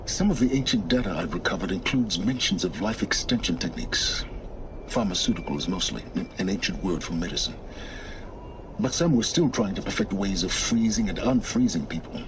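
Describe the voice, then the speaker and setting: An actor playing a secretive middle-aged scholar in a video game cutscene, speaking in a measured, condescending and impatient way. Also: male voice, sits low, expressive, background noise. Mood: explanatory, dry. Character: secretive, condescending older male lone scholar and wanderer.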